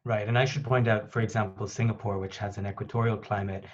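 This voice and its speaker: Male moderator for a panel call, lower register, dull lo-fi call audio. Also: little pitch movement, fast. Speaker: host, moderator of a conference call. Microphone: conference call line. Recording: dull, band-limited conference call line.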